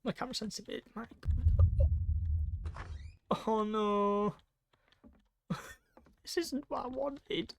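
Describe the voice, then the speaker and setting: A man's voice: high-pitched, slow, creaky, pauses often, fairly bright tone, a little hiss or hum. A man hosting a gaming video, reading story text aloud dramatically with casual asides.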